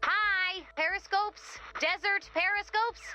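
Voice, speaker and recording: An actress playing a perky, curious, focused explorer in a video game, eager and inquisitive. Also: female voice, very high voice, steady tone, takes it slowly, sharp tone, soft background music, full character voice. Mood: impatient.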